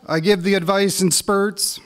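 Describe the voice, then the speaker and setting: A middle-aged Canadian man performing as a spoken word poet on stage, with a wry, deliberate delivery. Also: high voice, steady tone, background noise. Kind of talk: live poetry recital with casual lead-in.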